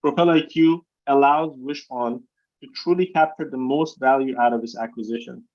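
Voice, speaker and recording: Slightly muffled, lo-fi conference audio carries a man with a mid-pitched voice. He presents scripted company updates as chief executive, in a formal read-aloud manner. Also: moderate pace, a little mellow. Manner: formal, reading prepared remarks. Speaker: chief executive hosting the call. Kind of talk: scripted corporate presentation on an earnings call. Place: video conference call. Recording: dull, lo-fi conference call audio.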